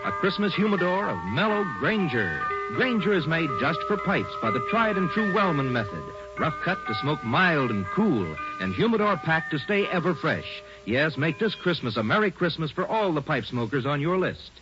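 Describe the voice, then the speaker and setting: A man reads a 1940s radio advertisement as a polished pitchman. His voice is fairly high with animated swings, on a dull old recording with faint music. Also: normal speed, few pauses. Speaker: commercial announcer.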